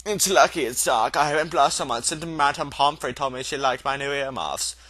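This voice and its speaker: Man doing an old male wizard, gentle, calm, amused, courteous, storybook character, dry close sound. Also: medium pitch, moderate pace, dry recording. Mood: calm, modest.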